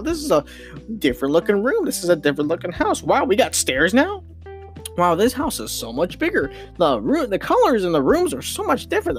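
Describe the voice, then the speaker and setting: A young man hosting a casual solo podcast, rambling and excitable, full of restarts and fillers. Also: sits high. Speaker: podcast host.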